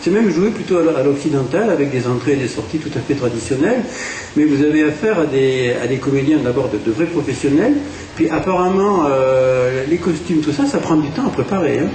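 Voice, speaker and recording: A man from France hosting a radio interview, talking in a relaxed, chatty way. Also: on the high side, a little fast. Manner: conversational, chatty, trailing tags. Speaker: radio host. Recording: distant, echoey room with faint hiss.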